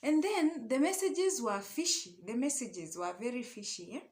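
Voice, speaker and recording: Higher-voiced young Kenyan woman telling stories. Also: moderate pace. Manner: animated, conversational, often checks in with short tag questions; mixes English and Swahili. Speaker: online storyteller and love coach. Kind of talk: casual personal storytelling.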